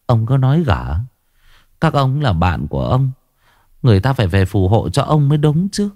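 A man's voice: medium pitch, very animated, normal speed, a little mellow, no echo, clean studio sound, voice pushed up, natural voice. A man voicing a frightened old village wife who pleads and laments. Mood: anxious pleading.

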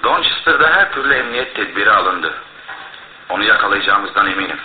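An actor in a radio play playing a formal, assured police official with a measured delivery. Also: male voice, fairly low pitch, expressive, lightly acted. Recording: roomy, noisy, phone-like radio broadcast.

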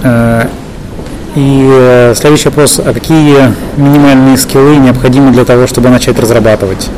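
A man's voice: mid-range voice, fairly even intonation, normal speed. Man interviewing, faint background noise. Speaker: podcast interviewer. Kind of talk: technical interview with hesitant phrasing.

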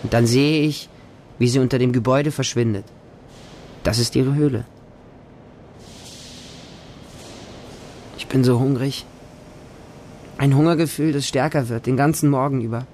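A man's voice: mid-range voice, fairly even intonation, normal speed, pauses often, a little mellow, slight background noise, close to an everyday voice. A man playing a small, wary homeless boy who narrates his hungry street life in short, simple sentences for a radio drama. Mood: watchful, sad. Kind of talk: radio drama monologue in present tense.

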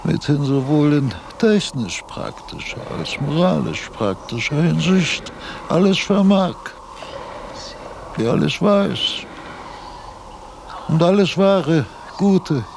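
An actor playing a frail aged philosopher, laboriously dictating abstract thoughts in broken phrases with long pauses, in a radio drama. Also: male voice, on the high side, gravelly, background noise. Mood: solemn, searching. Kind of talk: slow, halting radio-drama monologue.

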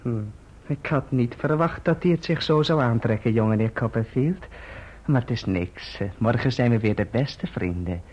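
An actor playing a scheming clerk in a radio play, obsequious and insinuating, on a dull recording. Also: male voice, mid-range voice, moderate pace, a little hiss or hum, natural voice. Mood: smug, insinuating. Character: scheming, falsely humble male clerk, oily and self-satisfied.

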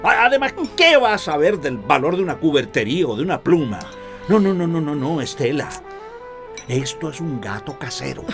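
An irritable, well-off middle-aged father in a radio drama, exasperated and very animated in pitch. Music plays clearly behind his mid-pitched voice. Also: normal speed.

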